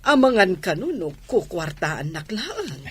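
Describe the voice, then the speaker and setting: A woman with an Ilocano accent playing a jealous, lovestruck restaurant owner in an expressive, reproachful radio drama style. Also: on the low side, measured pace, breathy edge, full character voice. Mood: doting, wary.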